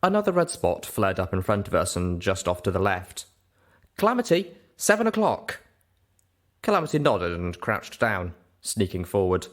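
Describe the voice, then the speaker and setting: A man's voice: deep voice, sing-song, moderate pace, hardly any pauses, a bit bright. A man reading scripted first-person narration as an audiobook narrator.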